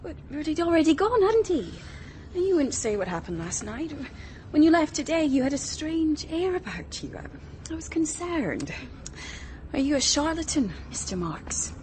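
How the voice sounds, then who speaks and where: Lively, high-voiced, needling woman. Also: normal speed, slight background noise. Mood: sly, probing. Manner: pointed, taunting, insinuating. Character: sly, accusing woman needling a man. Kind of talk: radio drama acting.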